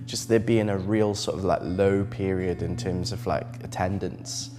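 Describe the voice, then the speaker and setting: A man's voice: deep voice, steady tone, measured pace, over music. Man reminiscing in an echoing venue. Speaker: interviewee. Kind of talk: interview answer. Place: inside a music venue.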